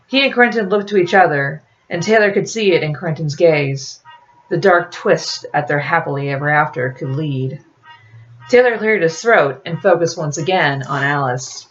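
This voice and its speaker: A woman's voice: deep voice, fairly bright tone, dull audio. A woman reading aloud from a novel in an audiobook-like storytelling style, as an author presenting the work.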